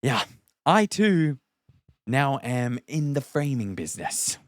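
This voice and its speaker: A man's voice: medium pitch, sing-song, slow, no room sound, clean studio sound. A man playing a sarcastic, bantering young best friend in an exaggerated comedy script read. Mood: sheepish sarcasm.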